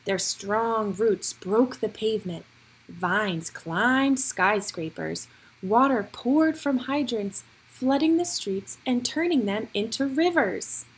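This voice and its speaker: A woman reading a picture book aloud for young children, with expressive, deliberate storytelling phrasing. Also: on the high side, husky, low-quality recording.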